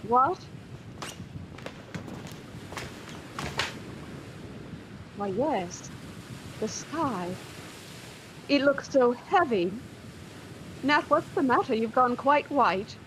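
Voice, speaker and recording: A practical, fretful housewife from the countryside. Her voice is somewhat high. The delivery is classic radio drama, domestic and quick to take alarm. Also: lively intonation, background noise. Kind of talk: vintage radio drama acting.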